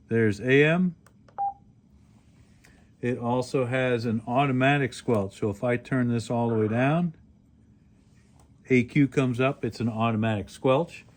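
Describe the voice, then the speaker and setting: A man giving a casual hands-on product review as a video host, explaining features plainly and step by step as he handles the gear. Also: mid-range voice. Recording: clearly noisy home-made recording.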